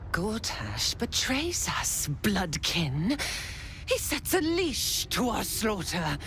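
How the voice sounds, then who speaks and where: A woman playing a sadistic, taunting murder-cult leader in a video game, with theatrical, drawn-out menace. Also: mid-range voice, a bit bright, slight background noise. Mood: menacing. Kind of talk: dramatic video game villain acting.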